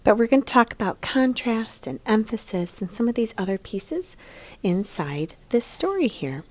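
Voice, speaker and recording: A woman art teacher leading a picture book lesson for young children in a guiding way, with a breathy, husky voice. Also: a little high, very animated, moderate pace. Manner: guiding, prompts listeners with questions.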